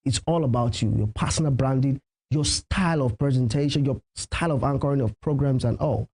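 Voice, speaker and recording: A man's voice: medium pitch, brisk, darkish tone, husky. A Nigerian man whose native language is Yoruba, a professional MC giving emphatic advice in a conversational TV interview and repeating key phrases. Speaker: professional MC and event host, interview guest.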